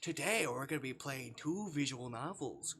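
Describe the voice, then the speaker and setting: A man's voice: medium pitch, lively intonation, moderate pace, gravelly, a bit bright. A man hosting a casual gaming livestream, rambling and often repeating words and phrases in singsong bursts. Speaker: livestream host.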